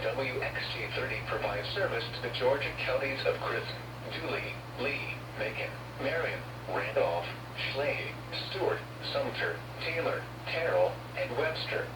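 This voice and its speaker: A man with an American accent reads formal scripted weather bulletins in an impersonal, measured way over radio. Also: medium pitch, lively intonation, far from the mic. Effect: radio broadcast. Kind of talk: formal scripted warning and information broadcast. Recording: noisy, humming weather radio picked up from afar, roomy.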